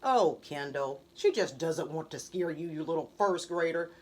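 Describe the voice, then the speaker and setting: A woman's voice: low-pitched, normal speed, darkish tone, pitched below the performer's own voice. A woman voicing an eager, boastful young boy in a children's storybook, exclaiming like a know-it-all. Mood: cocky, teasing.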